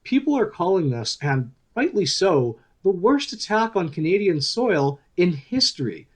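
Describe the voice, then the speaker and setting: A probing news podcast host for a radio play. His fairly high voice swings with lively intonation, and he presents in a polished, earnest, deliberate style. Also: exaggerated voice. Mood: grave, presenting.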